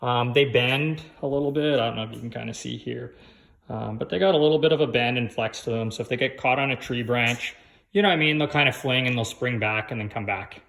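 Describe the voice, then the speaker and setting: A man's voice: mid-range voice, a little fast, gravelly, a bit bright. Man casually explaining a DIY install. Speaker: vlogger giving a DIY install walkthrough. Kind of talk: casual how-to vlog, explaining hands-on.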